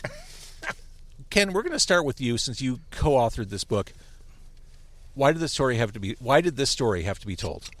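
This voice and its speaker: A man hosting a podcast in a casual, joking way while sitting in a restaurant. His voice is mid-pitched and moves a lot, and the sound is dry and close. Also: moderate pace, pauses often, no echo. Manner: lively, joking, reads a review aloud. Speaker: podcast host. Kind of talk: casual chat.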